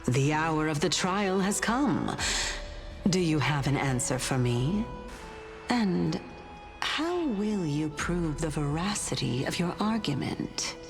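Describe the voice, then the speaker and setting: A woman's voice: deep voice, sing-song, full character voice. A woman voicing a solemn, coolly probing otherworldly judge of souls in a video game, stately and theatrical in delivery. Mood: solemn, ominous. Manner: stately, deliberate, coolly questioning. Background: background music.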